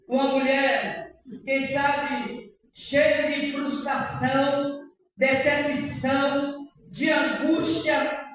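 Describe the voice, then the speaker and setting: A high-voiced woman with a Bahian accent preaches with fervour, letting phrases rise into song and cry out. Her delivery is slow and unhurried. She is heard from a distance, in a church hall that rings with long reverb. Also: slight background noise. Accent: Bahian Brazilian Portuguese. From Salvador, Bahia, Brazil. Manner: fervent preaching, sliding into sung phrases and shouts. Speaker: guest preacher. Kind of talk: sermon. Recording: echoey church hall, voice from far off.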